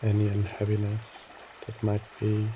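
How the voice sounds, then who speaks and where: A man narrating a guided meditation in a soothing, gently instructing way, with short phrases and pauses between them. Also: fairly low pitch, completely flat delivery, noisy background.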